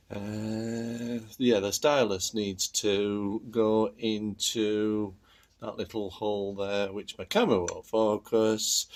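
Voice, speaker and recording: Slow-talking man muttering through a hands-on demonstration. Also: on the low side, creaky. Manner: hesitant, muttering to himself while fiddling with small parts. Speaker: amateur video maker demonstrating a stylus replacement. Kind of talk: casual unscripted how-to narration to camera.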